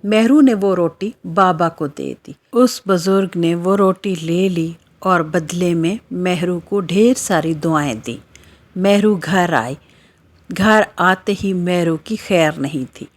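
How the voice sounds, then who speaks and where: A woman tells a scripted children's story as its narrator. Her voice sits a little low and she reads at a slow, measured pace.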